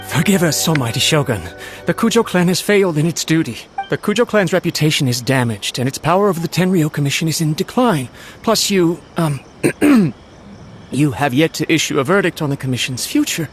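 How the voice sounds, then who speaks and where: Man playing a nervous, humble servant, higher-pitched. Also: animated, fairly quick, lightly acted. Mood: nervous, apologetic. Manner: deferential, hesitant, anxious. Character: nervous, humble, loyal male servant of noble clan. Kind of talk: video game voice acting. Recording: game music playing behind the voice.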